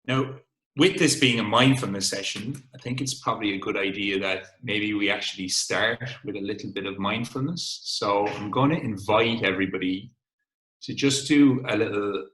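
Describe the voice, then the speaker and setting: A man in his thirties with a Cork Irish accent, calmly leading a wellbeing webinar and gently inviting listeners into an exercise. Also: fairly low pitch, moderate pace, echoey room, poor audio. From Ireland. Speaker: workshop facilitator. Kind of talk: webinar presentation. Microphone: video call.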